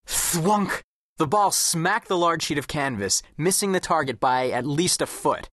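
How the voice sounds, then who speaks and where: A man's voice: on the high side, expressive, unhurried, a bit bright, no echo, clean studio sound. An actor playing an observant, wry teenage boy who tells his own story as scripted audiobook narration. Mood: wry, descriptive. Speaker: first-person audiobook narrator.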